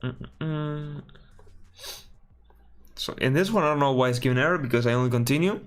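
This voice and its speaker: A man from Spain with a Spanish accent, thinking aloud while building a game on a live stream and often correcting himself with a quick sorry. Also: medium pitch. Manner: mutters through steps, apologizes and corrects himself often.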